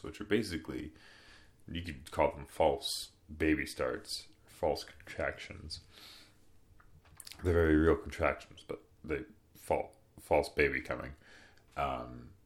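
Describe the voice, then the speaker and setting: Deep-voiced man telling a story casually. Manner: relaxed, rambling, soft-spoken with fillers. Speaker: podcast host.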